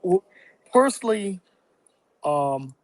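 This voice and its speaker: A man from Barbados, a guest on a podcast, talking through his plans in a reflective, halting way with many fillers. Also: sits high, huge pitch swings, gravelly, a little mellow, dry recording, low-quality recording. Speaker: real estate investor and guest. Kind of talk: casual podcast interview.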